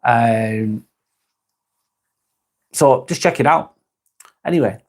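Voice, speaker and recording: Man, mid-pitched, rambling live-stream host, laid-back, swears casually. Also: takes it slowly. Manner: relaxed, rambling, frequent restarts and swearing. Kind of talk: casual live chat reading comments.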